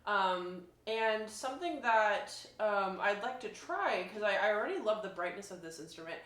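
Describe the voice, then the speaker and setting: A woman talks through her impressions in a casual, informal way, thinking aloud as a demonstrator would. Her pitch is average for a woman. The sound is noticeably roomy and echoey. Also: animated, normal speed, fairly bright tone. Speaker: violinist demonstrating instruments. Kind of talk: casual review talk. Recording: echoey room.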